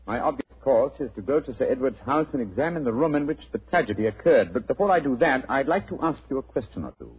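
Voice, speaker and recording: A man playing an incisive, self-assured British gentleman detective, precise and courteous, in a 1940s radio drama. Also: mid-range voice, animated, fast talker, few pauses, slightly rough. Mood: courteous, firm. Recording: muffled old radio recording.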